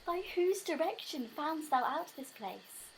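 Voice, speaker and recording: A woman's voice: high-pitched, big pitch swings, measured pace, exaggerated voice, low-quality recording. A woman acting a young, lovestruck, earnest and anxious maiden in a Shakespearean audio drama, with theatrical verse delivery, recorded at home on a phone.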